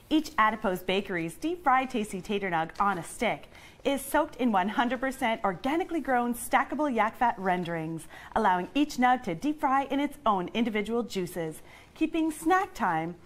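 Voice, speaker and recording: A woman playing a perky 1950s housewife and mother, delivering cheery sales patter like an old radio advert. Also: fairly high pitch.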